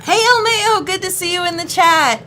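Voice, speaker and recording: A woman's voice: very high voice, moderate pace, bright, forceful tone, slight background noise. A woman from New York, a voice actress, chatting in a friendly, upbeat way during a signing stream. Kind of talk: casual chat.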